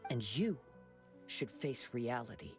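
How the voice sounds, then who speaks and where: Calm young samurai wanderer for a game, earnest n formal, mid pitch, bit of music under. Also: male voice, slow pace. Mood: stern, reproving. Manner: composed, earnest, formal wording. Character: calm, principled young male wandering swordsman. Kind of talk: earnest video game voice acting. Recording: music behind the voice.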